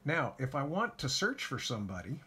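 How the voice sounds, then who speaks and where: A man calmly walking through his ham radio station setup in a casual how-to, with lots of filler words. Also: medium pitch, fairly quick, a little hiss or hum. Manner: relaxed, folksy, frequent "uh" fillers. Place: home radio shack by a window.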